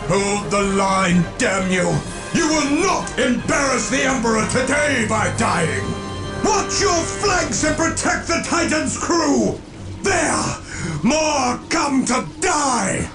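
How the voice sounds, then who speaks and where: A man playing a pious, fierce space warrior chaplain in a game cutscene, with a booming, oratorical manner. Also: wildly swooping pitch, slow, vocal fry, pauses often, loud music behind the voice, heavily acted. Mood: battle fury. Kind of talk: scripted game cutscene acting.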